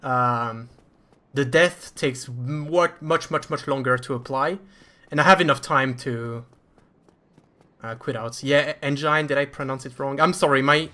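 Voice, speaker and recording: French guy narrating his speedrun live, bright forceful voice, laughs at himself a lot. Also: medium pitch, steady tone, unhurried. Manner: chatty, self-deprecating, laughs often. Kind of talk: live commentary over own gameplay.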